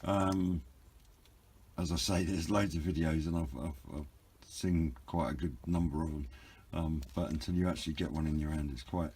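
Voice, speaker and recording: A man's voice: a bass voice, steady tone, moderate pace, a little mellow, breathy edge. A British man giving an informal, hesitant and understated hobby product review, rambling a little as he explains. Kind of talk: informal unboxing and review narration.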